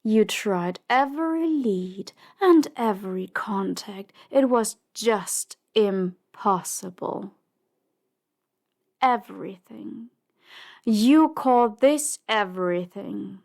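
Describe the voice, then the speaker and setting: Slow-speaking, imperious female crime boss, acted by a woman. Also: medium pitch, animated, vocal fry, darkish tone, full character voice. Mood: stern, interrogating, then angry, threatening. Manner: commanding, measured, dismissive. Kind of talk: audio drama roleplay acting.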